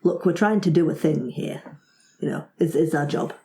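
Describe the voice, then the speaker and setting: Mellow woman game master, echoey room. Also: deep voice, moderate pace, vocal fry, a little hiss or hum. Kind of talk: improvised tabletop game narration. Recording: roomy echo.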